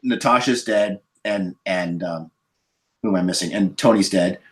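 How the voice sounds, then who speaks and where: A man moderating a casual podcast discussion of films, halting and often repeating words as he goes. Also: deep voice, fairly bright tone.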